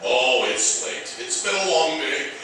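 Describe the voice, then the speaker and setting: An actor playing a kindly, proud veteran fire chief in an old-time radio drama. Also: male voice, a little high, expressive, measured pace, bright, forceful tone. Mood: fond, proud. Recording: very echoey hall, distant mic, faint noise.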